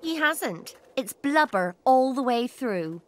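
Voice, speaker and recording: A woman's voice: high-pitched, lively intonation, slow pace, noisy background. A woman voicing a cheeky, sardonic young servant in a video game, playful and bluntly mocking.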